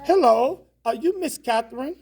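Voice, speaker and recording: A woman's voice: high voice, lively intonation, moderate pace, a little mellow. A woman reading the part of a dutiful but cheeky housemaid in a stage play, polite and matter-of-fact. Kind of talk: stage play table read.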